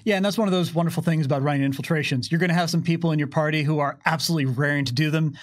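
A man in his thirties narrating a tabletop game and explaining its rules in a conversational, animated way. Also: fairly high pitch, rapid-fire. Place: small curtained studio, indoors. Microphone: microphone on desk boom arm.

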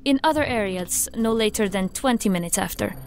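A woman's voice: mid-range voice, lively intonation, fairly quick, faint music behind, lightly acted. A woman playing a businesslike technical agent in a science fiction audio drama, formal and precise in her speech.

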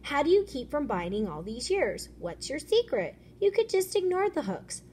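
A woman's voice: sits high, very animated, moderate pace, a little mellow, voice pushed up. A woman voicing a small, plucky fish in a picture book, exclaiming in short bursts. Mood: curious. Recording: noisy room ambience.

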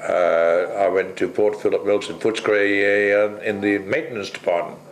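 An older man originally from the Netherlands, being interviewed at home and talking bluntly, with plenty of swearing and pauses. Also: on the low side, steady tone, echoey room. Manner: blunt, salty, rambling with many pauses. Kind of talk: casual interview. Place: kitchen at home, indoors.

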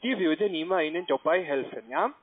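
A man giving a phone sales pitch in halting non-native German with grammar slips, as a call center agent. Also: lively intonation. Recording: tinny band-limited phone line.

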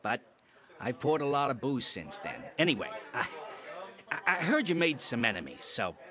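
An actor as a hesitant bartender, stammering over his words. His pitch swings widely, and loud crowd chatter fills the background. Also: sing-song, moderate pace, natural voice. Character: bartender, old acquaintance.

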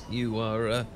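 A man with a British accent playing an awkward, sentimental English professor in a romantic ASMR roleplay, stammering and hesitant. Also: medium pitch. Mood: flustered, sheepish. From Britain. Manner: stammering, hesitant, full of false starts. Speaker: audio roleplay performer.

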